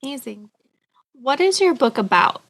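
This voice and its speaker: A woman's voice: high voice, very animated, moderate pace, a bit bright. A woman hosting an interview, asking prepared questions in a polite, upbeat way. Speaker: interview host.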